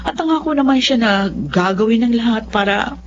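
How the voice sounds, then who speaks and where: A woman's voice: fairly high pitch, animated, moderate pace, slight background noise. An actress playing a stubborn, lovestruck middle-aged widowed mother in an emotive, insistent Filipino radio drama style. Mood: defensive. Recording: dull lo-fi radio drama sound.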